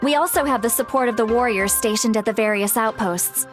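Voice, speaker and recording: Casting: earnest, responsible young female game warrior played by a woman, higher-pitched, sincere delivery. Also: brisk, close to an everyday voice. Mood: resolute. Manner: sincere, resolute. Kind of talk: English video game voice acting. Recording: soft game music behind the voice.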